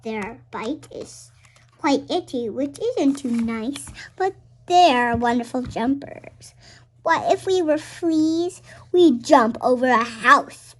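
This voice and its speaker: Young girl, very high voice, slow halting read-aloud of a children's book. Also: expressive, slight background noise. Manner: halting reading, stumbles and repeats words. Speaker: read-aloud show host.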